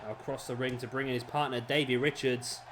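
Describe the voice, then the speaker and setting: A man, his voice a little hoarse, doing casual play-by-play commentary over a wrestling video game, rambling with fillers and correcting himself. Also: mid-range voice, flat delivery, fast talker, noisy background. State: slightly hoarse, losing his voice. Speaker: gameplay commentator.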